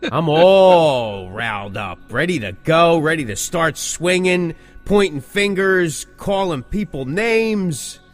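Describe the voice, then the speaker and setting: A man's voice: a little high, lively intonation, takes it slowly, soft background music. A man co-hosting a podcast in casual chat, repeating phrases for emphasis. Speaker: podcast co-host.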